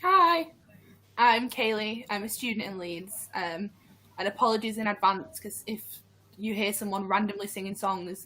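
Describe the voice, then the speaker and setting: A young woman student chatting on a video call in a jokey, animated way. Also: mid-range voice, a little hiss or hum, low-quality recording. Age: young adult. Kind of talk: casual panel talk. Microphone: computer mic on a video call.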